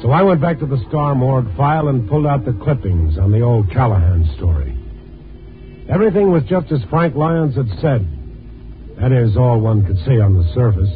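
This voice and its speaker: A man's voice: mid-range voice, natural voice. A man playing a world-weary, probing newspaper reporter in a 1950s radio noir drama, on a tinny old recording. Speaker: narrator and lead actor. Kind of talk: 1950s radio noir acting, first-person narration.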